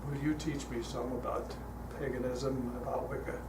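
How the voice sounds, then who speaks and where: An older man speaking as a guest at a church, telling stories in a relaxed, chatty way with frequent fillers, his voice calm. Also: medium pitch, normal speed. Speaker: guest speaker leading a guided meditation. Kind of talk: storytelling talk to a congregation. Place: church hall. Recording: echoey hall, heard from a distance, noisy.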